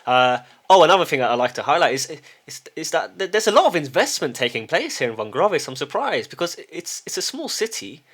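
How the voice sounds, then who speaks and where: A man's voice: fairly high pitch, big pitch swings, brisk, few pauses, fairly bright tone. A middle-aged man talking casually to camera in a loose monologue. He hesitates, repeats words as he restarts, and often checks in with a questioning "yes?". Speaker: vlogger. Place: lives in a small town.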